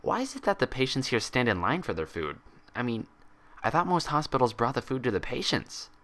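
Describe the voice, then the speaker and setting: A man's voice: mid-range voice, sing-song, brisk, fairly bright tone, voice pushed up. A man voicing a bookish, polite young female pony who speaks with careful, scheming casualness. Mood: casual.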